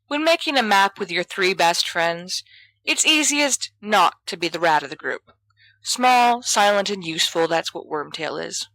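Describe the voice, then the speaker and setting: A woman reading fiction aloud in an expressive storytelling style. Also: medium pitch, a little slow, no room sound, low-quality recording. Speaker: audiobook reader of fan fiction. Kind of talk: expressive scripted narration.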